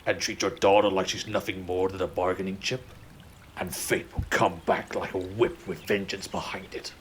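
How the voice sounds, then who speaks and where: Man acting, regal married king, low pitch, courtly and deliberate, romantic audio drama, background noise. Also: steady tone, lightly acted. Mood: stern, reassuring. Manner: formal, courtly, measured. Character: benevolent, commanding king, married, courtly and protective.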